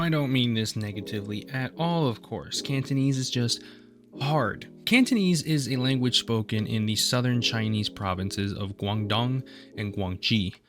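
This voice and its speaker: Laid-back young man presenting a project. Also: mid-range voice. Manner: relaxed, self-deprecating asides. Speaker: student presenter. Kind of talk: casual class project voice-over. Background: faint guitar music.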